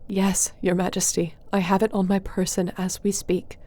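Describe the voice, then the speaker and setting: An actress playing a wronged, defiant sorceress in a fantasy audio drama, speaking with earnest intensity. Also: female voice, medium pitch, level tone, moderate pace, mellow, close to an everyday voice. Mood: pleading. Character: wronged, defiant sorceress pleading her innocence.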